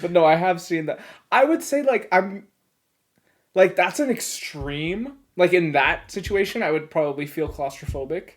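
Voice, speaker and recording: A man's voice: high-pitched, expressive, moderate pace. A man co-hosting a podcast in casual, comedic chat, with a laid-back, jokey manner. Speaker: podcast co-host.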